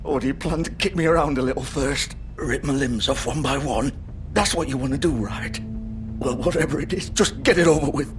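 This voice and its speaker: An actor playing a wounded, dying crook in a video game, strained, sardonic, profane and goading. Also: male voice, medium pitch, a little fast, lightly acted. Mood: bitter, resigned. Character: bitter, dying male crook, defiant and goading. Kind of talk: dramatic video game acting. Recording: music under the voice. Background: dramatic music.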